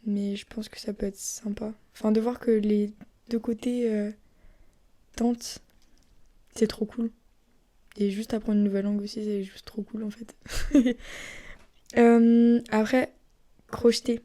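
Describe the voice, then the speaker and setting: A young woman from France chatting casually and rambling on her own podcast, often dropping into soft whispery asides. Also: on the high side, level tone, a little slow, pauses often. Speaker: podcast host. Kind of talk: casual solo podcast chat.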